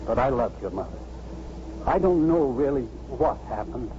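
Man playing a mellow, guilt-ridden radio-drama father. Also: medium pitch, animated, lightly acted. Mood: regretful. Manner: halting, stammering, apologetic. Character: hesitant, guilt-ridden, pleading middle-aged father. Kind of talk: 1950s radio drama acting. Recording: muffled, hissy 1950s radio recording.

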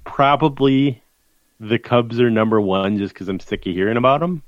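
A man's voice: mid-range voice, normal speed, no echo. A man co-hosting a sports podcast, ranting in casual chat. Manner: animated, ranting. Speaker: podcast co-host. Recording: dull, dry lo-fi audio.

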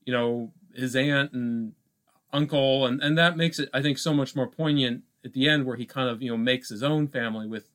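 A male podcast co-host in a casual film discussion. He thinks aloud, circling back and adding asides as he goes. His voice sits at an ordinary middle pitch for a man. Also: normal speed, a bit bright.

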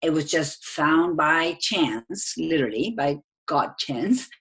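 A woman's voice: sits low, expressive, slow pace, a bit bright. A woman from Argentina with an Argentine accent, a guest answering interview questions in an earnest, reflective way with light laughs.

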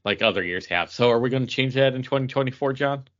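A man's voice: mid-range voice, fast talker, a bit bright, muffled recording. A man co-hosting a podcast in casual chat, rambling through tentative asides. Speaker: podcast co-host.

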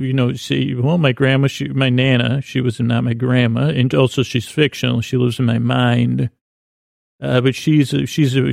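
A man with a heavily creaky, gravelly voice rambles through a deadpan comic monologue in a middle pitch. He wanders from aside to aside with many restarts. The sound is very dry and close. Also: no room sound. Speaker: podcast host. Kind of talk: rambling improvised comedic monologue.

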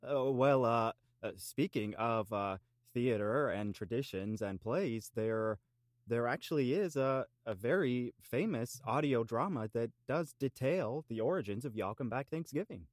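A man playing a cheeky island local, halting and mock-formal as he proudly banters about quirky traditions on an improvised comedy podcast. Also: medium pitch, no room sound, clean studio sound, natural voice.

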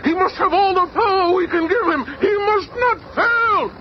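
An actor playing an imperious, fanatical alien emperor in a radio drama, barking shrill commands. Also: male voice, moderate pace. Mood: frantic, commanding. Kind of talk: superhero radio drama acting. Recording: muffled, hissy old radio recording.

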